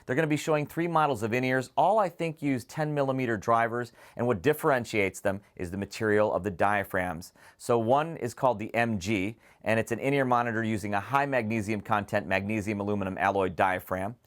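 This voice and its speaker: A man's voice: lowish voice, a little fast, few pauses. A man hosting a product preview, speaking to viewers in a detailed, enthusiastic, semi-scripted way. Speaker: presenter of an audio gear preview show.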